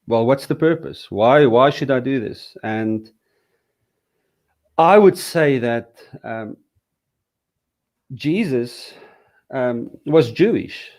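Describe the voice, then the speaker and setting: A man from South Africa, a Hebrew teacher, talking in a relaxed interview with lots of "um"s and restarts. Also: medium pitch, takes it slowly, pauses often. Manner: hesitant, many fillers and restarts. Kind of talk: casual interview conversation.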